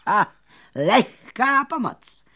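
A woman voicing a boastful, excitable little male magician with theatrical, exclamatory speech in a children's story. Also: on the high side, voice pushed up, exaggerated voice. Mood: grand, persuasive. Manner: theatrical, repetitive, exclamatory, grandiose.

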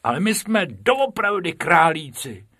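A man's voice: sits high, very animated, breathy edge, no room sound, clean studio sound, voice pushed up, full character voice. A man doing a cheeky bossy rabbit. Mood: eager. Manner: eager, bright, bossy.

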